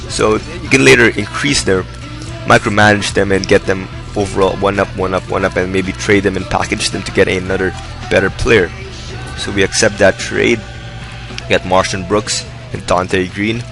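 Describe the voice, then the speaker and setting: Hoarse low-voiced man narrating gameplay. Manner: rambling, upbeat play-by-play. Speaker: gaming video host. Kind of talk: casual game commentary. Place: indoors, hot room.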